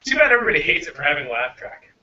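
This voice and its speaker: A man's voice: on the high side, very animated, racing, sharp tone, muffled recording. A man hosting a podcast in casual group chat, joking and laughing often. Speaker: podcast host. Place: small studio, guys around a table.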